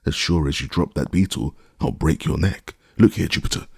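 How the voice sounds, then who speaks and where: A man voicing an excitable gentleman, feverish and commanding, who speaks in urgent, demanding questions. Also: extremely low pitch, moderate pace, gravelly, breathy edge, dry recording, clean studio sound, full character voice. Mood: excited.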